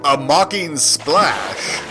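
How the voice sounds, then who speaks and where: A man's voice: a little high, very animated, extremely slow, music in the background, heavily acted. A man acting as a dramatic, mock-serious narrator and henchman in a golden-age comic reading. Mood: ominous. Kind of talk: scripted comic-book narration.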